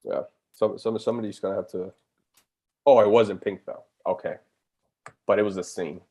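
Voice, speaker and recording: A man's voice: fairly low pitch, steady tone, normal speed, mellow, husky. A man co-hosting a live stream, chatting casually, reading viewer questions and often stammering and restarting sentences.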